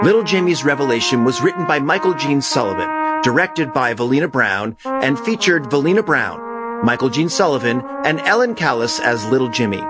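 A male announcer reads scripted credits for a radio drama. His medium-pitched voice is animated, riding over loud brass music.

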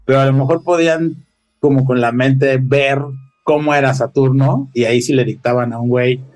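A Mexican man chatting casually as a podcast guest, telling things in an animated, slangy way with swearing. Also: mid-range voice, moderate pace.

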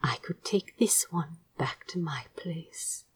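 A woman voicing a gentle, fussy, ancient male angel bookseller in an audiobook, speaking tenderly and softly, often close to a whisper. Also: deep voice, takes it slowly, slightly rough, pitched below the performer's own voice, exaggerated voice. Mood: kindly tactful. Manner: tender, soft, often dropping into near-whisper. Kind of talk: audiobook character dialogue.

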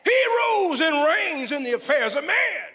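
Guy preaching hard, really high bright voice, repeats himself for emphasis, big reverby hall. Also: sing-song, normal speed. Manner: exclamatory, repeats phrases for emphasis. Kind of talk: fervent sermon with scripture quotation. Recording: echoey hall with long reverb.

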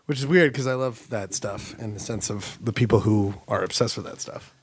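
A man hosting a casual podcast chat on a podcast microphone, loud and teasing, laughing easily. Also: fairly low pitch, normal speed, husky. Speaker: podcast host.

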